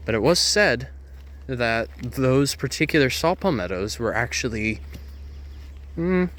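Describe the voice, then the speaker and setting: A man's voice: mid-range voice, expressive, measured pace, a bit bright. A man leading an outdoor nature walk, explaining plants in a chatty way and cracking puns at his own expense. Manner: chatty, self-amused with puns and asides. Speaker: nature guide. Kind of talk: outdoor educational walk-and-talk. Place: outdoors in a Florida hammock woodland.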